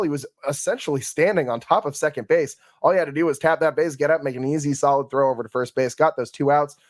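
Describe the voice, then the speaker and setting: A hoarse man hosts a solo sports podcast, recapping a game in a loose, conversational way that often stumbles and restarts. His voice sits a bit high for a man. The recording is dry and close, with no room sound. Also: no echo. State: hoarse from yelling. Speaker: podcast host. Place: home studio.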